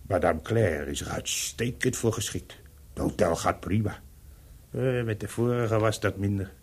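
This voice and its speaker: A man's voice: lowish voice, measured pace. A man acting a talkative, deferential hotel servant in a radio play, with a hesitant, explaining manner.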